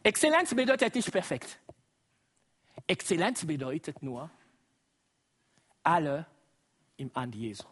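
Brief: Congolese-accented male preacher, middle-aged, high voice, very animated sermon delivery. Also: a little slow, long pauses, breathy edge. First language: Lingala. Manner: lively, exclaims and laughs often, asks the congregation to repeat. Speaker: guest preacher.

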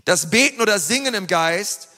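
A man's voice: sits high, animated, moderate pace, sharp tone. A man preaching a sermon in an earnest, emphatic way, with frequent fillers and restarts. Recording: long reverb of a large hall.

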